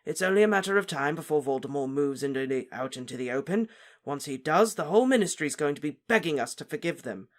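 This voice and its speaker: A woman's voice: low voice, animated, fast. A woman doing a bitter, abrupt wizard. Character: bitter grown wizard, a man.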